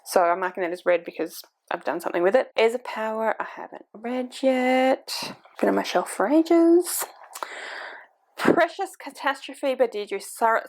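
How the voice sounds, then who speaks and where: A woman's voice: medium pitch, creaky. A woman in her forties chatting casually to camera as a book vlogger, thinking aloud and poking fun at herself. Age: 40s.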